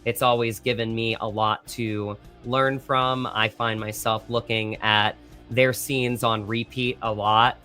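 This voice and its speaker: A man's voice: lowish voice, level tone, a little slow, bright, forceful tone, faint music behind. A man speaking as an actor guest in a casual interview, earnest and reflective, often searching for words.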